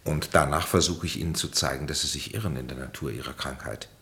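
An actor in a German radio play as a grave, authoritative psychiatrist, speaking in measured, formal pronouncements. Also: male voice, extremely low pitch, pushed and bright, heavily acted. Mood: calm, authoritative. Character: grave, authoritative male psychiatrist, calm and oracular.

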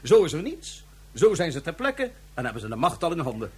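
A smug would-be emperor of the solar system, pitched on the high side and swooping theatrically from line to line. The delivery is pompous and mockingly polite, with a slightly husky edge, in the manner of a science fiction radio play. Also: male voice, huge pitch swings, fairly quick, exaggerated voice. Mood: grandiose gloating. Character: smug megalomaniac dictator plotting to seize the solar system. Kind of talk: radio drama acting.

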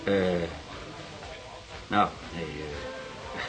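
An actor playing a surly, cagey young small-time crook in a Dutch radio play, curt and defensive. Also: male voice, very deep voice, very slow, music in the background, exaggerated voice, low-quality recording.

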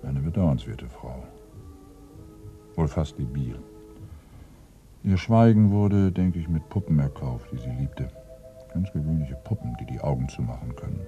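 An actor playing a brooding, irritable senior police inspector in a radio crime play, ponderous and commanding with sudden emphasis. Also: male voice, rumbling low, expressive, unhurried, long pauses, dark tone, muffled recording, faint music behind. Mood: subdued, pensive. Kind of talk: German radio play acting.

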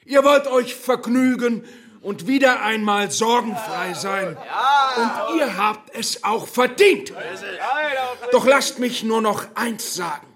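An actor playing a pompous rural Nazi functionary in a radio play, giving a declamatory, unctuous speech with dramatic pauses. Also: male voice, high voice, animated, crowd noise, full character voice. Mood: unctuous, solemn.

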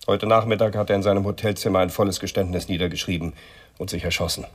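An actor playing a gruff, smugly hostile male police inspector in a radio crime drama, husky and condescending. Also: deep voice, steady tone, fast. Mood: grudging, threatening. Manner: condescending, threatening.

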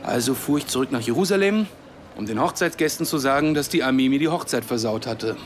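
An actor playing an earnest, idealistic and sardonic Palestinian academic and activist in a radio drama. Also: male voice, medium pitch, quick pace, a little hiss or hum, lightly acted. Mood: wistful storytelling.

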